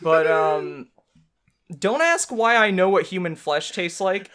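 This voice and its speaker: A woman's voice: fairly low pitch, expressive, takes it slowly, a bit bright. A woman co-hosting a game playthrough, bantering casually in a joking, rambling way. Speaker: gaming channel co-host.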